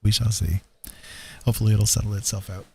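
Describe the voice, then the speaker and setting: A man's voice: on the low side, little pitch movement, quick pace, vocal fry, breathy edge. A man chatting casually as he hosts a livestream. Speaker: streamer hosting old radio listening session.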